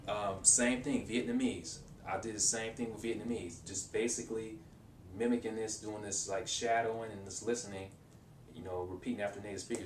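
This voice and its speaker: A young man giving casual, rambling advice in a language-learning vlog, with many restarts and fillers. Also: far from the mic. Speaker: language-learning video maker. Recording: roomy echo, off-mic, faint rumble.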